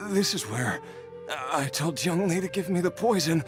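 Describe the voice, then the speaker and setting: A man's voice: on the high side, lively intonation, normal speed, soft background music, natural voice. A man voicing a driven, anxious seeker. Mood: urgent. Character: anxious man seeking a lost loved one.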